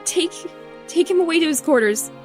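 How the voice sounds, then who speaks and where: Woman as a high-voiced anxious pony princess. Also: animated, normal speed, a little mellow. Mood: distressed. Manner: pleading, regal, emotional. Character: gentle, anxious, loving pony princess wife. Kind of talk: animated fan drama acting. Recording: music under the voice.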